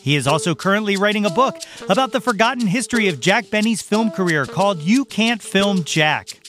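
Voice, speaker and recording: A man's voice: high-pitched, animated, a little fast, fairly bright tone, soft background music. A man hosting a casual podcast interview, talking in an effusive, complimentary way. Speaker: podcast host.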